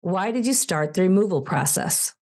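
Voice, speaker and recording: Low-voiced woman narrating formally. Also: lively intonation, moderate pace, a little mellow. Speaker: narrator for an advocacy group. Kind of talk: scripted voice-over narration.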